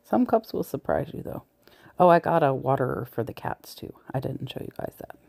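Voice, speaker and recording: Chatty woman thrifting, mellow voice. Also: rumbling low, lively intonation, fairly quick, creaky. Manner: chatty, talks to viewers while browsing, sometimes drops to a whisper. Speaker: thrift reseller vlogger. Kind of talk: casual shop-with-me narration. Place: large thrift store, indoors. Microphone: body-worn camera mic, point of view.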